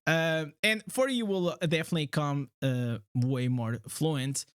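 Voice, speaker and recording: Portuguese-accented man, chatty and halting. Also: on the high side, clear, resonant tone, dry recording, clean studio sound. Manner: halting, many fillers and restarts, laughs often. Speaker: streamer host. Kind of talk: casual livestream chat.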